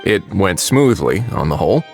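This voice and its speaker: A man's voice: low voice, very animated, moderate pace. An actor playing a wry, laid-back but authoritative male official in a video game, speaking in a dry, casual way. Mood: measured, analytical. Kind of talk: video game character acting. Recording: faint music behind the voice.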